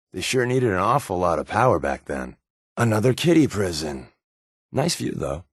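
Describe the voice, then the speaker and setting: An American actor playing a sardonic, wisecracking secret agent companion in a video game, with a wry, offhand delivery. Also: male voice, low voice, no room sound, studio quality, heavily acted.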